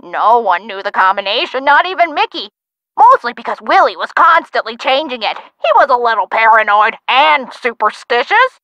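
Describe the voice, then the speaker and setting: A woman playing a feisty, sharp-tongued elderly woman who tells theatrical stories of her youth in a video game. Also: on the high side, sing-song, moderate pace, dry recording, lo-fi sound. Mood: chatty, reminiscing. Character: feisty, sassy elderly woman, nostalgic for the twenties. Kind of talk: video game character acting.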